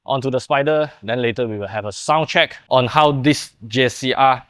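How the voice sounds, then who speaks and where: A man's voice: medium pitch, normal speed, fairly bright tone, clear, resonant tone, dry recording, clean studio sound. A young man explaining and demonstrating in the style of a car product showcase. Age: young adult. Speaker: presenter of a car workshop video.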